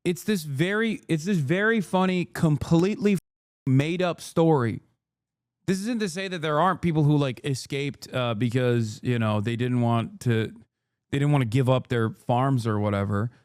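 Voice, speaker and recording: A man streaming casual reaction commentary, profane, animated and rambling. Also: medium pitch, normal speed, clean tone, no room sound, clean studio sound.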